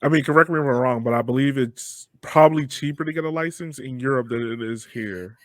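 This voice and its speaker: A man's voice: mid-range voice, fairly quick, a bit bright, no room sound. A man hosting a podcast interview, talking in a casual, conversational style. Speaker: podcast host.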